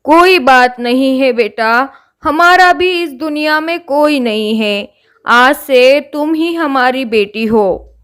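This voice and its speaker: Woman doing a kind old cart guy in a cartoon, fatherly, talks slow. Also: high-pitched, lively intonation, fairly bright tone, clear, resonant tone, exaggerated voice. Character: kindly old man who runs a food cart.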